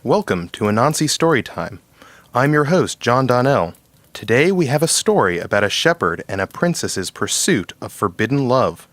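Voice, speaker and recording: A man's voice: medium pitch, very animated, moderate pace, a bit bright, dry recording. A man hosting and narrating a scripted storybook tale. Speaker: host and narrator.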